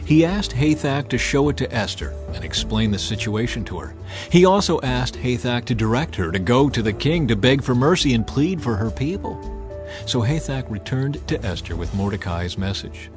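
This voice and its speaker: A man giving a dramatized scripted narration of scripture, with solemn, deliberate phrasing. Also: medium pitch, lively intonation. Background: faint music.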